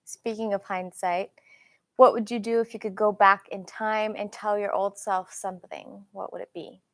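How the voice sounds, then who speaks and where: A woman's voice: medium pitch, level tone, moderate pace. A woman with a mid-range voice hosts a casual question session. She reads out questions and prompts in a conversational style. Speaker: host reading audience questions. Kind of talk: casual Q&A hosting.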